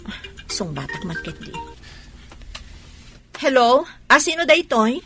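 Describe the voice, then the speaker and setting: A woman's voice: high voice, sing-song, slow pace, a bit bright, soft background music, heavily acted. A woman playing an indignant, defiant young wife with an Ilocano Filipino accent in a radio drama. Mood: defensive, worried.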